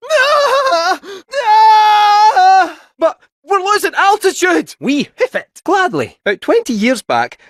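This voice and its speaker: A small, wily robot cat from a video game, chattering in a Scottish accent. The delivery is theatrical and folksy, with pitch that leaps about in a lively way. It is recorded very dry, with no room sound. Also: male voice, no room sound. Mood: alarmed, excited. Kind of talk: scripted video game character dialogue.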